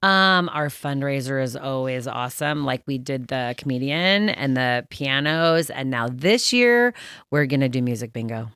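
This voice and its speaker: A middle-aged woman with a deep, low voice tells rambling stories in relaxed chat. She leans on fillers as she goes. The sound is close and dry, with no room around it. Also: normal speed, clean tone, dry recording. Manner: chatty storyteller, lots of fillers. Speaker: guest business owner. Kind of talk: casual chat. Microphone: studio mic.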